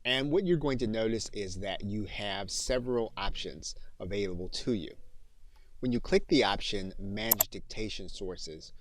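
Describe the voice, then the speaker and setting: A man narrating a step-by-step software training lesson in a clear, methodical, instructional manner. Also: fairly low pitch, moderate pace, no echo.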